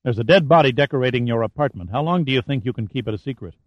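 A man's voice: medium pitch, expressive, racing, no room sound, lightly acted. A male narrator playing a tough, blunt man who talks in a curt, sardonic way. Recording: dull, muffled dry recording.

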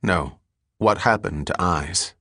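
A man playing a terse, watchful investigator in an audiobook story, asking short, calm questions. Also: sits low, takes it slowly, creaky, no echo, studio quality, full character voice. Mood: probing.

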